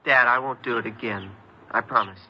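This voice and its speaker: A muffled, faintly noisy vintage radio drama recording. A man plays a troubled teenage boy with a medium male pitch and a halting, hesitant delivery, a kid who feels neglected. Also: lightly acted. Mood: pleading, ashamed. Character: troubled, neglected fourteen-year-old boy recounting his story. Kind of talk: old radio crime drama acting.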